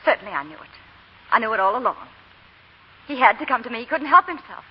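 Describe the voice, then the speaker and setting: High-voiced, willful belle. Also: female voice, very animated, fast. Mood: defiant, fervent. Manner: intense, theatrical. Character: willful, impassioned young Southern belle. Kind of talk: 1940s radio drama acting. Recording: dull, noisy 1940 radio recording.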